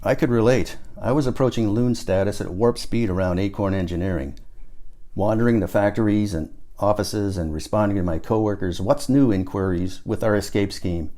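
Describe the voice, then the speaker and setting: A man's voice: fairly low pitch, moderate pace, mellow. A man reading his own book aloud as first-person audiobook narration.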